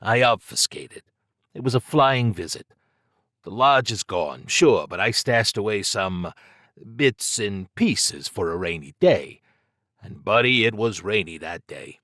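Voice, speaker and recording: A shifty male thief from an audiobook tale, acted out by a male narrator, low in pitch and recorded dry and close. He is evasive and flippant, covering his nerves with slangy bravado. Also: lively intonation, a little slow, sharp tone, no room sound, exaggerated voice. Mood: uneasy, confiding.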